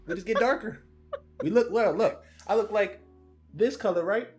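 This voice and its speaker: A man's voice: high voice, moderate pace, faint music behind. A young man, a YouTuber, joking with strangers on a video chat in mock-gangster bravado, recorded on a studio microphone in a small home room. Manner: playful, mock-gangster bravado with sudden mumbled asides. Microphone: studio microphone on a stand, headphones on.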